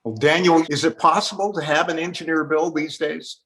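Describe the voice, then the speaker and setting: A man's voice: fairly high pitch, steady tone, moderate pace, a bit bright. A man hosting a panel interview, politely putting questions to his guests. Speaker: host interviewer.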